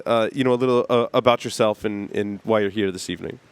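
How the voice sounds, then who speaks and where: A man hosting a live-streamed talk show. He chats and asks questions in a lively way. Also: on the low side, fast, smooth, dry recording. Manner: chatty, animated questioning. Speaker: show host.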